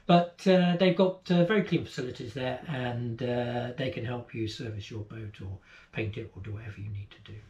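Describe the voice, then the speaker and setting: A man's voice: mid-range voice, normal speed, darkish tone. Man, 50s, sailing host, casual explaining, echoey cabin, lo-fi sound. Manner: chatty, explanatory, trailing off at line ends. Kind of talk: casual travel guide chat. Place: boat cabin, seated indoors.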